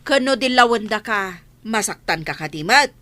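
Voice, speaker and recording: A woman's voice: mid-range voice, expressive, moderate pace, pushed and bright. A woman playing a stern, fed-up woman who scolds sharply in an audio drama. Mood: scolding. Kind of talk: Filipino audio drama acting.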